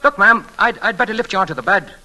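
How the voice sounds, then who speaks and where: An actor playing a cocky, flattering young charmer with sly menace, folksy and mock-humble, in old-time radio drama style. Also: male voice, high-pitched, fast, heavily acted. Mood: jaunty, fawning. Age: young adult. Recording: vintage radio recording, clear background noise.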